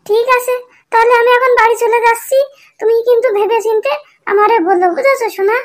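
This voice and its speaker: Clingy, high-voiced flirty sister-in-law Boishakhi. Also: male voice, steady tone, fast talker. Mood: insistent, suggestive. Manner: coaxing, insistent, wheedling. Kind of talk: comic cartoon dialogue.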